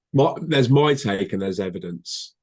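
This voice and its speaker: A man's voice: on the low side, lively intonation, slow. A man speaking as an expert guest, answering conversationally in a structured, explanatory way. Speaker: recruitment software expert, guest.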